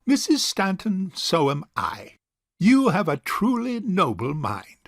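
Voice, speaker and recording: An actor playing a pompous, self-righteous rich old bachelor uncle in a theatrical period farce. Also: male voice, high-pitched, huge pitch swings, a bit bright, dry recording, studio quality, exaggerated voice. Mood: pompous, self-satisfied. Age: older. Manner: pompous, deliberate, orating. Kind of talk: theatrical period farce audio drama acting.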